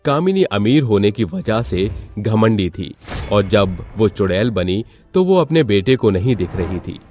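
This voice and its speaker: A man's voice: on the low side, animated, a little slow, a little mellow, soft background music. A man narrating a scripted story as the storyteller.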